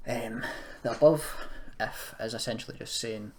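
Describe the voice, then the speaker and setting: A man giving an informal software tutorial, thinking aloud in a hesitant, self-deprecating way as he goes. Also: mid-range voice, moderate pace. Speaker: tutorial presenter. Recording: noisy room with keyboard clicking.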